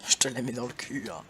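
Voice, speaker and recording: A man's voice: very high voice, vocal fry, very noisy. A young man hosting a casual gaming session, laughing often and swearing playfully at his partner. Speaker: gaming channel host.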